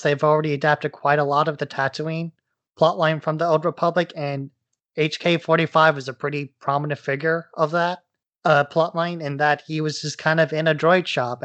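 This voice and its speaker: A man's voice: on the high side, a bit bright, no echo. A man co-hosting a casual podcast, speculating in a tentative way as he pieces his ideas together. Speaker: podcast co-host.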